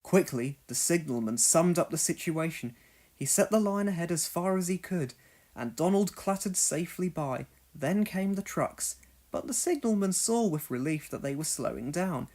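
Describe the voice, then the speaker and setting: A narrator reading a children's read-along story expressively, in deliberate phrases. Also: mid-range voice, lively intonation, darkish tone.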